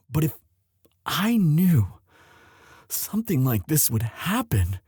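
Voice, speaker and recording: A man's voice: fairly high pitch, big pitch swings, a little mellow, slightly rough, no echo, studio quality, full character voice. A man acting an emotional young prince, wide-swinging voice. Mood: anguished, remorseful. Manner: intimate, halting, emotional. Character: young prince, tender, devoted, anxious lover. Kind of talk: romantic audio roleplay acting.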